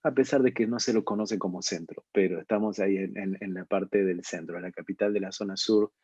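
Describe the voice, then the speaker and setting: A man's voice: deep voice, fairly even intonation, brisk, a little mellow, husky, no echo. A middle-aged man from Argentina with an Argentine accent, leading a ministry and giving a webinar talk, hesitant, with many fillers and restarts. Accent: Argentine Spanish. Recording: dull, lo-fi video-call audio.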